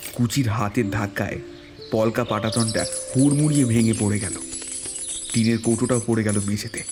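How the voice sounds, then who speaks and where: A man narrating a scripted suspense story in a dramatic storytelling style. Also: mid-range voice. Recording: prominent background music.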